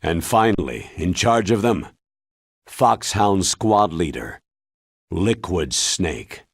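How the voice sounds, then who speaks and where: A stern game colonel giving a briefing, deep and husky, deliberate, swings his pitch for emphasis. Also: big pitch swings, no echo, clean studio sound, heavily acted. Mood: grave, dramatic. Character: grave, authoritative older male military commander giving briefings. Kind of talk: video game cutscene acting.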